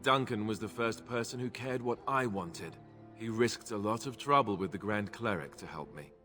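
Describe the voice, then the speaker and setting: An actor playing a young, earnest and wry warrior knight in a video game, politely spoken. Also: male voice, fairly low pitch, lively intonation, moderate pace, bright, forceful tone. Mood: earnest, reflective. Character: young Templar-trained Grey Warden, earnest, wry. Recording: long reverb, music behind. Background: game music.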